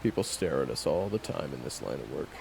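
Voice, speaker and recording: A man's voice: extremely low pitch, expressive, fairly quick, gravelly, background noise, full character voice. A man playing a bitter, blunt monster hunter who talks in weary slang in an audio roleplay drama.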